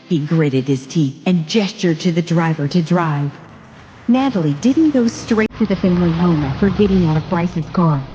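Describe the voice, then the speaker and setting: A woman's voice: mid-range voice, dark tone, long reverb tail, highs cut off, slight background noise. A woman narrating a scripted drama in a storytelling style. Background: music.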